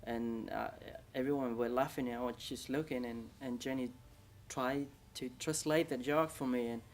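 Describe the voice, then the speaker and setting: A man with a Vietnamese accent recalling his life in halting, second-language English, with frequent restarts. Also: medium pitch, steady tone, a little hiss or hum. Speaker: interviewee. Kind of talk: casual recollection.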